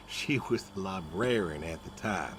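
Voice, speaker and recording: A very deep older farmer, talking in a folksy, stubborn, wry way. His pitch moves expressively as he meanders along. Clear ambient noise sits behind him in this naturalistic game scene. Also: animated. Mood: wistful, wry. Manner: folksy, hesitant, unhurried storytelling. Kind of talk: naturalistic video game acting.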